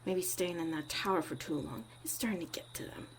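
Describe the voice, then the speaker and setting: A woman's voice: fairly low pitch, fairly quick, slight background noise, close to an everyday voice. A woman acting a tough but caring witch mother in an ASMR roleplay, muttering and often dropping to a whisper. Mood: exasperated, muttering.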